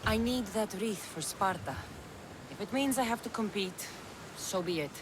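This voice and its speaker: A woman's voice: mid-range voice, moderate pace, noisy background, close to an everyday voice. An actress playing a dry, sardonic female mercenary in a video game. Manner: dry, wry, deadpan remarks. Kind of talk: video game cutscene acting.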